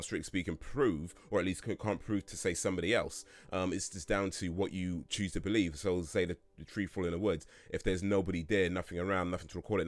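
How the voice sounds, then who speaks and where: A man in his late thirties hosting a casual livestream Q&A, rambling through chat questions with lots of fillers and restarts and frequent chuckles. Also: low-pitched. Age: 30s.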